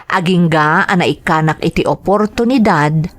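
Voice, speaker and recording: A woman's voice: lowish voice, moderate pace, close to an everyday voice. A woman with an Ilocano accent playing a dutiful, earnest eldest daughter in a sentimental radio drama. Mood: reflective narration. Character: dutiful eldest daughter, young woman going overseas to work. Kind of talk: Ilocano radio drama acting and first-person narration.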